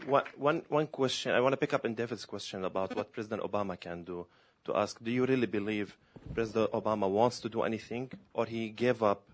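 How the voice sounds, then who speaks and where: Blunt questioner from Syria, lowish voice. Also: male voice, fast talker, slight background noise. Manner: direct, pointed questioning with small grammar slips. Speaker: audience member asking a question. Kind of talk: question from the floor.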